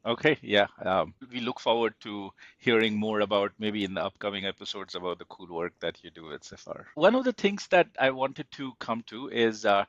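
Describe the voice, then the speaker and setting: A man's voice: on the low side, animated, normal speed, hardly any pauses, a bit bright, dry recording, dull audio. A man hosting a podcast interview, conversational and appreciative, asking long, meandering questions.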